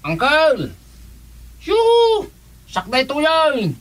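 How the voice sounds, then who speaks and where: Bright, animated, excitable young Ilocano nephew. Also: male voice, very high-pitched, extremely slow, full character voice. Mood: excited. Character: excitable young nephew eager to get married. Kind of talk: Ilocano radio comedy drama acting.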